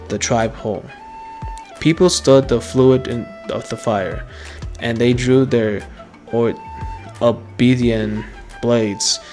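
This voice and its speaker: A medium-pitched man reads game story text aloud at a very slow pace. His dramatic narration halts, stumbles and repeats, with music underneath. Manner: halting reading with stumbles and repeated words. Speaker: video game streamer.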